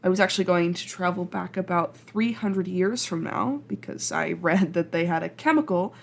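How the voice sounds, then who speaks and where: A woman playing a flustered, awkward, chatty time traveler from the future in an ASMR roleplay, halting and rambling as she speaks to the listener. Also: fairly low pitch, creaky. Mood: dawning worry.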